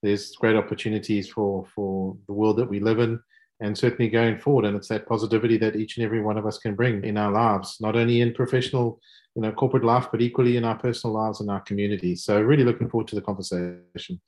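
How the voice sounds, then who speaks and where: A man's voice: lowish voice, flat delivery, quick pace, darkish tone. A South African man, a business leader, speaking as a podcast guest with enthusiastic, polished corporate phrasing. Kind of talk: podcast interview.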